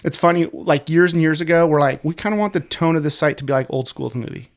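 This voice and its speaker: A man in his forties talks in a slightly raised register, his voice stuffed up and sniffly from a sinus infection. He tells stories quickly and loosely, dropping curse words as a podcast guest. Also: fairly high pitch. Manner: fast, profane, animated storyteller. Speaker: guest, website co-creator. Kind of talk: casual podcast chat.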